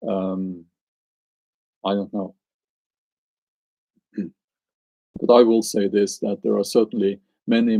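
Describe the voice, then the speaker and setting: A man's voice: lowish voice, gravelly, warm tone, no room sound, poor audio. A man with a Norwegian accent, chatting on a live stream and answering his viewers, hesitant and musing as he searches for words. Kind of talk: casual live stream chat.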